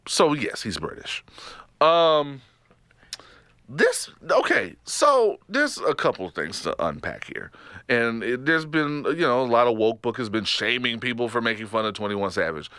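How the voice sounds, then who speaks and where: A man's voice: mid-range voice, a little slow, clean tone, dry recording. A man from Texas with a Texas accent, co-hosting a podcast in casual, joking chat that often breaks into laughter. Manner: animated, joking, laughs often, trails off and restarts. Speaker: podcast co-host.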